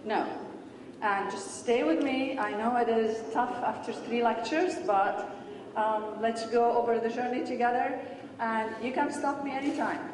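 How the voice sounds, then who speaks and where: A woman's voice: a little high, a little slow. A woman from Syria, giving an earnest medical lecture in short explanatory phrases and answering questions in a large hall. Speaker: pathology lecturer. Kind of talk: conference lecture and Q&A. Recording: echoey hall, distant mic, background noise.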